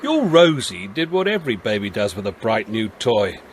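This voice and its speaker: Avuncular older constable for radio drama, expressive intonation, plain-spoken, quietly authoritative. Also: male voice, on the low side, normal speed, a little hiss or hum. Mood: kindly firm. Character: avuncular, firm but kindly London beat policeman. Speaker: police constable and narrator. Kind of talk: naturalistic radio drama acting and storytelling.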